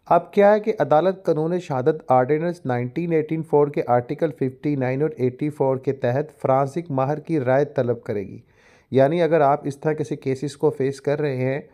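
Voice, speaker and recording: Pakistani guy teaching a lesson online, soft dark voice, formal wording w some english thrown in. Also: fairly high pitch. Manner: formal Urdu with frequent English legal terms. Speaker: law education YouTuber. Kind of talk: explanatory lecture mixed with reading out a judgment.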